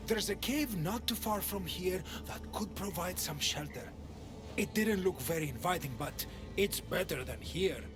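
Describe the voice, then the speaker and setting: A man's voice: high voice, expressive, a little slow. An actor playing a wary, defensive young male refugee in a video game, guarded in manner. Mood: cautious. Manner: guarded, suspicious. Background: game soundtrack music.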